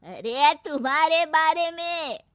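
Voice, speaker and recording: Woman acting a high-pitched scolding old mother-in-law. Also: expressive, slow pace, fairly bright tone, dry recording, studio quality, exaggerated voice. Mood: shocked, scolding. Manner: indignant, sarcastic scolding.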